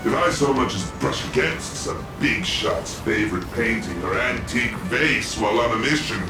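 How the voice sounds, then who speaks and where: A man's voice: mid-range voice, animated, normal speed, close to an everyday voice. Guy voicing a tired joking superhero in a game, voice jumps around a lot, sounds far off. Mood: wry. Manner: wry, joking, self-deprecating. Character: weary, self-mocking superhero man. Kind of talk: video game voice acting. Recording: distant, echoey game mix. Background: music.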